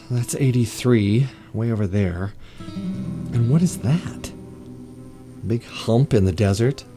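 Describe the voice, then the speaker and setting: An actor playing a henpecked, exasperated husband in an audio drama, grumbling in short bursts. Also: male voice, mid-range voice, takes it slowly, long pauses, music in the background, close to an everyday voice. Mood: grumbling, puzzled.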